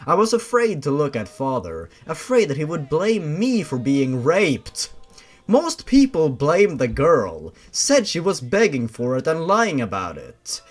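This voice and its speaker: A man's voice: fairly high pitch, wildly swooping pitch, a little slow. A man narrating a story in a theatrical, dramatic way, with sarcastic asides.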